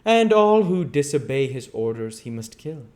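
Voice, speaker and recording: A man's voice: medium pitch, big pitch swings, normal speed, darkish tone, pitched above the performer's own voice, natural voice. A man voicing a fanatical, megalomaniac schemer in a storybook reading, with emphatic, declamatory delivery. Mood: menacing, commanding.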